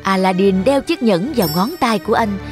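A woman's voice: mid-range voice, moderate pace. A woman reading scripted children's story narration as the narrator. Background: music under the voice.

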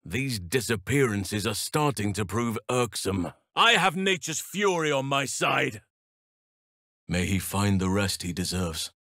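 An actor playing a gentle, solemn male elf druid healer in a video game, speaking in earnest, measured, formal phrases. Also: on the low side, big pitch swings, no room sound, studio quality, exaggerated voice. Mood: battle urgency. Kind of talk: video game character voice lines.

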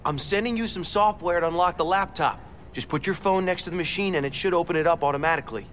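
A man playing a confident, resourceful young American street racer in a video game, with brisk, casual delivery. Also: a little high, fairly bright tone, natural voice. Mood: assured. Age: young adult. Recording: vehicle noise behind.

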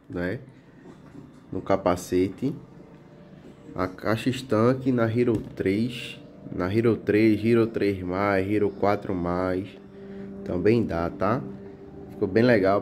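A man's voice: lowish voice, slow, noisy background. A man with a Pernambuco accent hosting his motorcycle channel, chatting casually as he shows off camera gear indoors, peppering his talk with little tag questions. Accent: Pernambuco Portuguese. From Brazil. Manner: relaxed, chatty, full of fillers like "tá?" and "né?". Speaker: motovlog channel host. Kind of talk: casual product show-and-tell.

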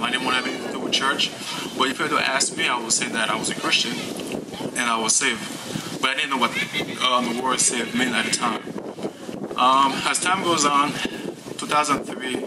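A young man from Haiti gives his personal testimony to a gathering outdoors by a lake, speaking into a stand mic in an unhurried, halting way with many pauses and restarts. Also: mid-range voice. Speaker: church member giving testimony. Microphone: stand mic, filmed from a distance. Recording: outdoor ambience and noise.

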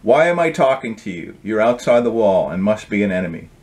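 A man's voice: fairly low pitch, sing-song, a little fast, a little mellow. A man voicing a guarded, fearful, stubborn lobster in a storybook, speaking in a curt, contrary manner. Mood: defensive.

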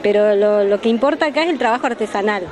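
A woman's voice: medium pitch, fast, background noise. A woman, an artisan vendor speaking earnestly and with energy in an interview. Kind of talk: casual interview. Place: large indoor fair hall. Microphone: handheld mic. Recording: crowd chatter behind.

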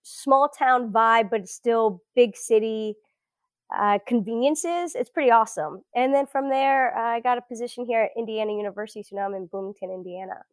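A woman's voice: fairly high pitch, brisk, dry recording. A woman in her thirties from South Florida, chatting as a guest in a casual interview, with many fillers and restarts. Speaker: guest researcher.

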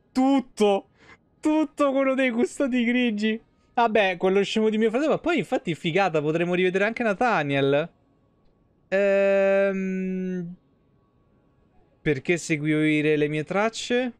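High-pitched Roman man chatting on stream. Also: smooth, dry recording. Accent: Roman Italian. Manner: colloquial, swearing, animated digressions. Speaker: game streamer. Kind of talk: casual livestream commentary, chatty. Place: small indoor streaming room.

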